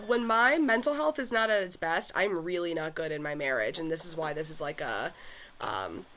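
A woman's voice: deep voice, expressive, normal speed, fairly bright tone. A woman co-hosting a casual podcast from her home, chatty and self-deprecating, laughing often. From Pennsylvania. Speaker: podcast co-host.

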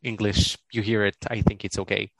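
A middle-aged man with an Israeli accent, Hebrew his first language, answering interview questions in a halting, thoughtful way with frequent laughs over a video call. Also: on the low side, level tone, creaky, dry recording, low-quality recording. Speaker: guest.

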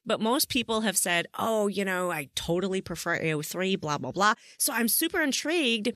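A woman's voice: mid-range voice, expressive, normal speed, fairly bright tone, no room sound, studio quality. A woman hosting a podcast interview in a casual, chatty way, curious and encouraging toward her guest. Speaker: podcast host.

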